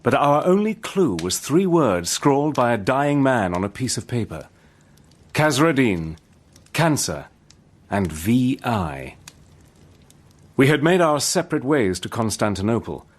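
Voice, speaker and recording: Army major telling his wartime adventure, composed n measured, radio drama, medium pitch. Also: sing-song. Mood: measured, grave. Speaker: actor and narrator. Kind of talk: scripted first-person radio drama narration.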